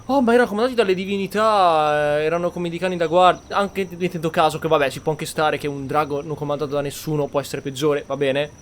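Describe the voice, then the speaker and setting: A man's voice: fairly high pitch, steady tone, racing, hardly any pauses. A man with an Italian accent giving casual gameplay commentary, blunt, grumbling and prone to swearing. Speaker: gameplay host.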